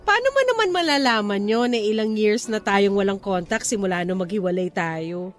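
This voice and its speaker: A woman's voice: medium pitch, animated, moderate pace, faint music behind, close to an everyday voice. A woman acting a proper, playful young single woman with a Filipino accent in a radio romance drama. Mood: casual, wistful.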